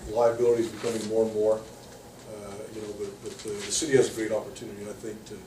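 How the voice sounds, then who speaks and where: A man's voice: fairly low pitch, little pitch movement, fast talker, background noise. A middle-aged man giving a plainspoken public comment at a city meeting, with many fillers and restarts. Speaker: public commenter and tournament director. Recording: roomy distant meeting room audio.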